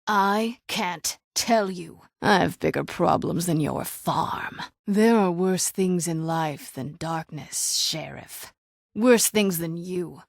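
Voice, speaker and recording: A woman's voice: fairly low pitch, gravelly, dry recording, studio quality. A woman voicing a bitter, defiant witch who calls herself an old hag, sardonic and world-weary, in a dramatic video game performance. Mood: wary, stern. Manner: sardonic, world-weary, pleading when threatened.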